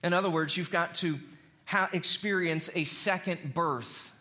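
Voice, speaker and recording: A man preaching a conversational sermon as an animated storyteller, repeating phrases for emphasis. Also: a little high, unhurried. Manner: animated storyteller who acts out dialogue in slang.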